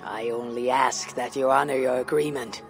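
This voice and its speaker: An actor playing a stern, dutiful and guarded Viking raider in a video game, measured and terse. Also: male voice, medium pitch, fairly even intonation, vocal fry, close to an everyday voice. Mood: firm, earnest. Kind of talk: dramatic video game acting. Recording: music behind the voice.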